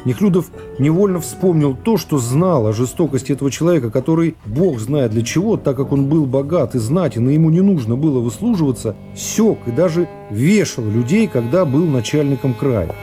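Mellow male literary narrator over music. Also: medium pitch, animated, moderate pace, few pauses. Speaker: narrator of a radio literary serial. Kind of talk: dramatic literary narration of a classic novel. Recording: music behind the voice.

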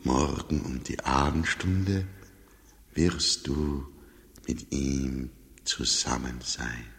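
Very deep, slow, solemn otherworldly judge. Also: male voice, fairly even intonation, a bit bright, heavily acted. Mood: gentle, grave. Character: stern, solemn otherworldly male judge in a dream. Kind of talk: radio play acting.

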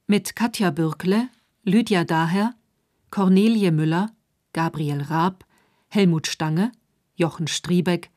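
A woman's voice: fairly low pitch, slow pace, a little mellow, no room sound, clean studio sound. A woman reading a scripted title and credits announcement for a radio play.

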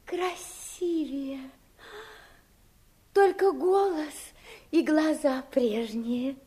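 Young fairy-tale princess: very high voice, unhurried, earnest and emotional, naive and loving. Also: female voice, mellow. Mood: hushed wonder. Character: tender, naive young princess in love with gardener. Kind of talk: fairy-tale radio play acting.

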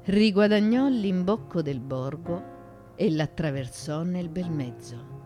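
A woman giving an intense, theatrical literary reading for radio theatre, phrasing deliberately with pauses. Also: fairly low pitch, expressive, dark tone. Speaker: actress reading literary monologue. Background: music.

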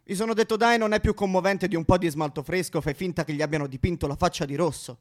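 A man's voice: high-pitched, fairly even intonation, fast talker, natural voice. A male actor playing a bitter, self-loathing misanthropic man in a histrionic theatrical comic monologue. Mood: hushed, confessional. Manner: histrionic, swinging from muttering to outbursts with spitting noises. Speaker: stage monologue performer.

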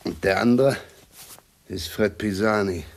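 An actor playing a weary newspaper reporter and bickering husband, curt, grumbling and sardonic, in a German radio crime drama. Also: male voice, lowish voice, measured pace, gravelly. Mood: placating. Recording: hissy 1960s radio drama recording.

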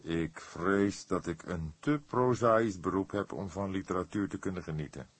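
Man playing a deep, deliberate old detective. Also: husky, dry recording. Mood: modest, wry. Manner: slow, deliberate, drily modest. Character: old grey-haired male police detective, wry, courteous, ponderous. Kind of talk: Dutch audiobook character voice.

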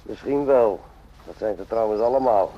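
An actor playing a cynical, world-weary army sergeant in a radio drama, offhand and sarcastic, in short clipped phrases. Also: male voice, lowish voice, moderate pace, natural voice. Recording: muffled lo-fi old radio recording.

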